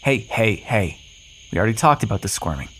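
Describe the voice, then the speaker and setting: A man's voice: on the low side, lively intonation, a little fast, fairly bright tone, slight background noise. A man playing a cocky, flirtatious, sardonic vampire in an ASMR roleplay, teasing the listener with pet names, sarcasm and swearing. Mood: smug, teasing.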